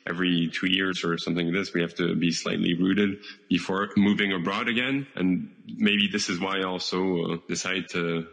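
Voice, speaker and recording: A young man with a French accent, giving measured, analytical reflections and pausing often to find words. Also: low-pitched, steady tone, vocal fry, a bit bright, in a large hall, rough recording. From France. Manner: measured and analytical, with frequent uh fillers. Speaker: interviewee. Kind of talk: recorded personal reflection.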